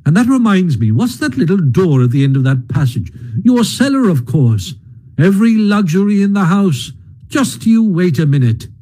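Hearty storybook water rat, voiced by a man, raised pitch, husky edge, coaxing and brisk. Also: very animated, warm tone. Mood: jolly. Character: cheery bustling male water rat, hearty. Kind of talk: storybook reading.

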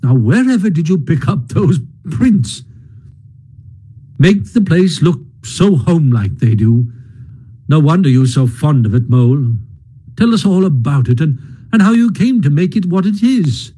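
A man voicing a cheery, bustling male water rat in a storybook reading, brisk and jolly. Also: on the high side, expressive, dark tone, husky, low-quality recording. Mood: hearty.

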